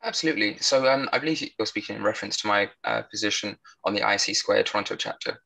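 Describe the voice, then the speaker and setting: A man's voice: lowish voice, quick pace, vocal fry, bright, forceful tone, low-quality recording. A young man with a British accent, answering as a panelist in a conversational way. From United Kingdom. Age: young adult. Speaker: panelist, threat intelligence professional.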